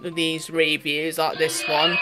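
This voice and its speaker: A woman's voice: sits low, little pitch movement, unhurried. A woman presenting a casual product demonstration as a toy reviewer. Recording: electronic toy music behind the voice. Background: music from a children's toy laptop.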